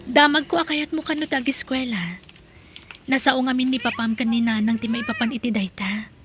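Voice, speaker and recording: A woman playing a kind, forgiving young woman, gentle and earnest, in an emotional audio drama. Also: on the high side, normal speed, slight background noise, lightly acted.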